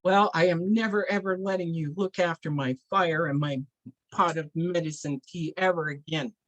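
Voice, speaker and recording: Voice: medium pitch, moderate pace, no echo, highs cut off, natural voice. Storyteller putting on a stern, exasperated medicine man. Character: stern, exasperated male medicine man scolding his helper.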